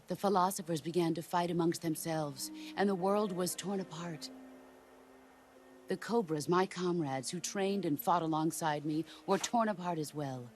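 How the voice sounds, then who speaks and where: An actress playing a stern, middle-aged veteran soldier and mentor, speaking in a calm, measured, solemn way in a game cutscene. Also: female voice, lowish voice, close to an everyday voice.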